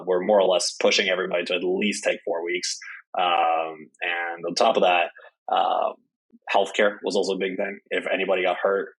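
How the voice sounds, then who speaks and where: Low husky-voiced man, filler-heavy. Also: a bit bright, poor audio. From Norway. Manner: hesitant, many "uh" fillers. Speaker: startup CEO. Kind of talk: interview answers.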